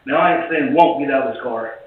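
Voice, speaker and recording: A man's voice: mid-range voice, quick pace. A man angrily complaining about his treatment, swearing and speaking in short bursts. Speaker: citizen complaining at a police station. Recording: tinny, echoey clip from far off.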